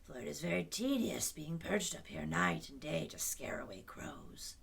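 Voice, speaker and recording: Woman doing a male straw scarecrow, husky whisper, slow, polite, gentle, lilting. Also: low-pitched, wildly swooping pitch, full character voice. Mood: friendly.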